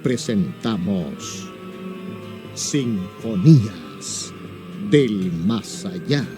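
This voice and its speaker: A man announces a horror radio show very slowly and dramatically. His pitch rises and falls widely, and he sounds somewhat distant, with music playing clearly and prominently behind him. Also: lively intonation. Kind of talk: slow dramatic intro announcement.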